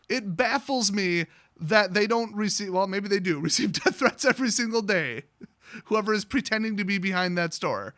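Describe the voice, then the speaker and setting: A man talks in a high-pitched, forceful, bright voice. He rambles through his story like a casual vlogger, sarcastic, blunt and quick to swear. The sound is close and very dry, with hardly any room to it. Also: dry recording. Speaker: online vlogger telling a story, card seller.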